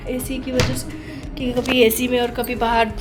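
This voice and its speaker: A young woman vlogging casually, her voice hoarse from a cold and her talk full of fillers. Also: a little high, completely flat delivery, unhurried, over music. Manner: chatty, many fillers like "na" and "matlab". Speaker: family vlogger. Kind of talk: casual vlog narration.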